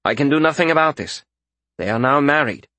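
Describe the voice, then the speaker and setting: A male narrator voicing Rezkin, a detached young king who speaks in a calm, dry way. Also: a little high, fairly quick, no echo, dull audio. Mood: deadpan.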